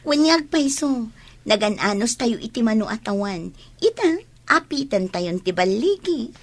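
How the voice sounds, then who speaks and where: A woman's voice: a little high, animated, unhurried. A young woman with a Filipino accent playing a hopeful, chatty student near graduation in a radio drama. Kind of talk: Ilocano radio drama acting.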